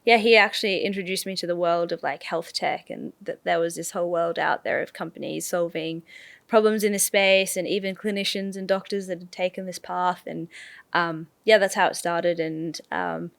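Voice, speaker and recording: Creaky-voiced woman founder. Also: lowish voice, steady tone, normal speed, a bit bright, no echo. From Sri Lanka. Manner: casual, many fillers and "like". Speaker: startup founder, guest. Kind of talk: interview answers.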